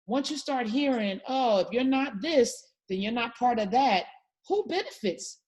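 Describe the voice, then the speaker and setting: A woman's voice: on the high side, expressive, measured pace, darkish tone, husky. A woman hosting a talk show, speaking with emphatic, passionate conviction in casual political discussion.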